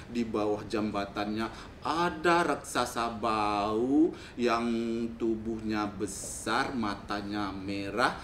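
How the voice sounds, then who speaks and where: A man voicing a big, cautious eldest goat brother in a children's story, measured and full of warning. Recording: roomy echo, faint rumbling noise.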